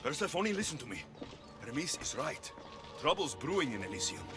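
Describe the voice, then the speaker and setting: A man playing a determined adventurer hero in a video game, earnest, measured and persuasive. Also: a little high, very animated, music in the background. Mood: urgent, persuading. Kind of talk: video game cutscene acting.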